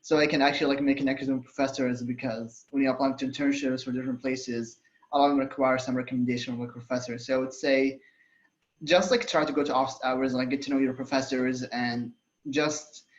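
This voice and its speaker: A man's voice: mid-range voice, level tone, fast, roomy sound, muffled recording. A young man from Saudi Arabia, a student on a college panel, talking casually with many fillers and restarts. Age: young adult. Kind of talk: casual panel talk on a video call.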